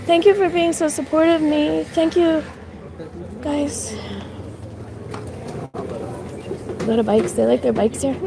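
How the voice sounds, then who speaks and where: A woman's voice: high voice, animated, slow pace, creaky, pauses often, background noise. A woman from Canada with a mixed Canadian and Irish accent, chatting on a live stream while walking outside and shivering from the cold, thanking viewers between rambling remarks with many fillers. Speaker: livestreamer. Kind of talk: casual live stream commentary. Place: outdoors on a city street. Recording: outdoor street recording with crowd.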